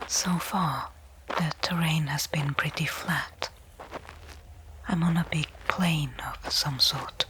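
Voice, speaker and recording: A woman's voice: low voice, slow, background noise. A woman playing a stranded space explorer, resigned yet hopeful, whispering a candid, conversational log in a sci-fi ASMR roleplay. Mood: pensive. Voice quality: soft whisper.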